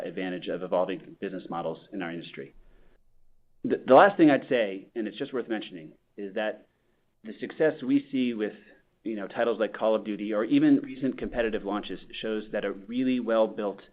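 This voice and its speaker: A man, a company executive, answering an analyst's question on an earnings call in a measured, corporate way with frequent fillers. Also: lowish voice. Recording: tinny conference call line.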